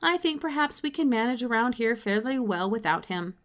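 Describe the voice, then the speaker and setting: An easygoing country father from a children's novel, voiced by a woman reader. He talks practically, with casual and unhurried phrasing. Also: a little high, animated, close to an everyday voice. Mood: considering.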